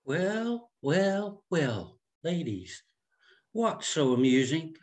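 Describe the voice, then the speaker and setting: A man's voice: a little high, sing-song, extremely slow, heavily acted. A man voicing a snobbish, fussy fifty-year-old small-town society woman in a script read, with a condescending, genteel delivery. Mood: falsely friendly. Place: indoor room at home, on a video call.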